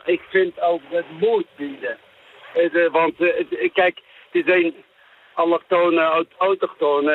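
Higher-voiced man on a phone line. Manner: halting, searching for words. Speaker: caller to a radio show. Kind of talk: casual phone-in opinion. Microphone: telephone. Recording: narrow tinny phone line.

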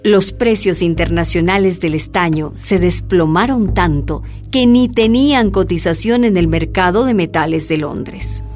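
A woman's voice: on the low side, measured pace, hardly any pauses, darkish tone. A woman narrator reading a scripted radio drama. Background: music.

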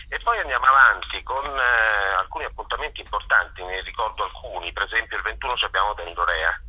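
A man's voice: low voice, bright, forceful tone, husky, rough recording. A man speaking as a festival director in an informal interview, effusive and often correcting himself. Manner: enthusiastic, effusive, hesitant with frequent self-corrections.

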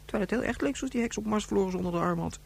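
An actress playing a headstrong, excitable young boy with insistent, overblown childish speech in a radio play. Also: medium pitch, very fast, creaky, breathy edge. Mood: sulky, stubborn. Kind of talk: Dutch radio play acting.

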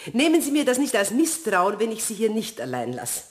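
Refined countess, lively pitch. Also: female voice, a little fast. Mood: amused, firm. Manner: polite, refined, gently condescending. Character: gracious, composed, gently sceptical countess. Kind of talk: German radio play acting.

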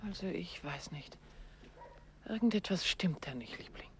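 An actress playing an uneasy young wife speaking in hushed tones in a 1950s radio drama. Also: female voice, lowish voice, expressive, moderate pace, whispered, lightly acted.

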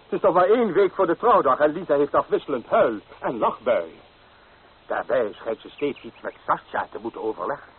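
An actor playing an elderly, hesitant priest who repeats himself in a flustered way in an old radio drama. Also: male voice, fairly high pitch, animated, a little fast, husky. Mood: worried. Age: older. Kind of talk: old radio play acting. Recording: tinny old radio recording, hissy.

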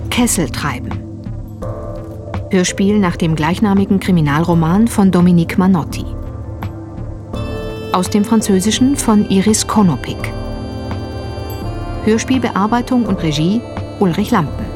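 A woman's voice: mid-range voice, a little slow, pauses often. A woman reading a formal, scripted title announcement for a radio play. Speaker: credits announcer. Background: music.

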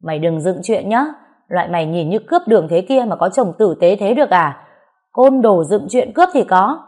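A woman's voice: mid-range voice, a little fast, natural voice. A woman narrator voicing an orphaned young woman in a story, cornered and desperate, speaking up in protest. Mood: furious. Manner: pleading, then shouting defiant threats.

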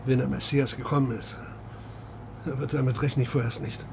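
An actor playing a grieving, troubled middle-aged father in a naturalistic radio drama, speaking in a halting, subdued way. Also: medium pitch, little pitch movement, gravelly, noisy background, lightly acted. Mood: regretful.

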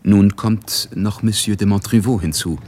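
An actor playing a composed, knowing narrator in a radio drama, delivering literary narration in a measured, wry way. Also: male voice, fairly low pitch, a little mellow, breathy edge. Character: knowing, composed male narrator of a society novel.

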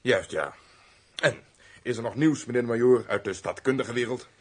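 An actor playing a fussy, obsequious castle steward in a radio drama, chatty and given to exclamations. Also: male voice, medium pitch, normal speed, slightly rough, close to an everyday voice. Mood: servile, chatty.

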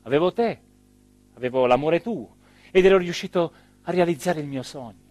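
An actor playing an embittered, abandoned small-town mayor in a theatrical monologue, with a southern Italian accent, moving emotionally between low murmurs and loud declaiming. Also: male voice, normal speed, dry recording, lightly acted. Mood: proud, impassioned. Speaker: stage actor.

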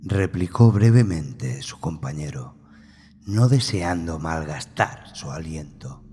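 A man narrating a scripted horror story in a measured, suspenseful manner with dramatic pauses. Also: extremely low pitch, lively intonation, a little hiss or hum. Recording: loud music under the voice. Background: loud music bed.

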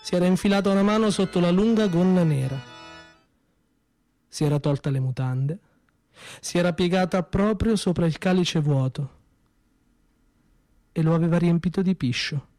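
A man performing a theatrical narrative monologue with expressive, deliberate phrasing and pauses. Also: high voice, faint music behind. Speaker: storyteller-actor.

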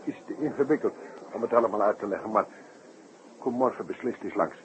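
An actor playing an excitable, worried circus performer in a 1960s radio drama, emphatic and chummy in manner. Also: male voice, on the low side, fast talker, natural voice. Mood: worried, agitated. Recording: muffled, noisy 1960s radio recording.

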